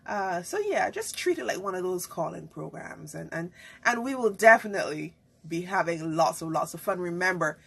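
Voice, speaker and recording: A woman's voice: on the low side, expressive, moderate pace, vocal fry, a bit bright, slightly rough. A woman who hosts a live online talk show. She chats casually, greets viewers and laughs often.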